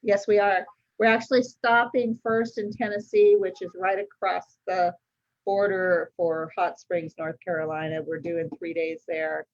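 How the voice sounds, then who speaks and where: A woman author chatting casually on a video call, talkative and quick to laugh. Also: fairly low pitch, little pitch movement, dull audio. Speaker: guest author.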